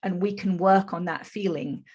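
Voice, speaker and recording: A woman's voice: on the low side, moderate pace, darkish tone. A woman in her fifties, a podcast guest and spiritual teacher, explaining her experience in an earnest, flowing way. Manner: earnest, explanatory, speaks in long flowing runs. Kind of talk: conversational storytelling interview.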